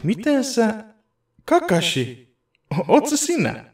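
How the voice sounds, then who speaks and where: A man's voice: extremely high pitch, huge pitch swings, full character voice. A man voicing a huge, matter-of-fact male dragon in an anime parody dub, speaking in short, casual remarks. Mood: puzzled, curious. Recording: distant voice under music.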